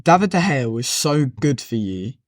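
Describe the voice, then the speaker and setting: A man's voice: medium pitch, sing-song, moderate pace, no room sound. A man with a mid-range voice makes his case earnestly, hesitating and filling gaps with "like". His voice is recorded dry and close. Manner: earnest, hesitant, many "like" fillers. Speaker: podcast guest. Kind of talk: casual football discussion.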